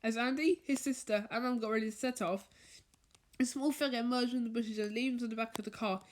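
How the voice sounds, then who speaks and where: A woman reading a children's bedtime story aloud, haltingly, stumbling over and repeating words as she goes. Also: on the high side, steady tone. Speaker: storybook reader.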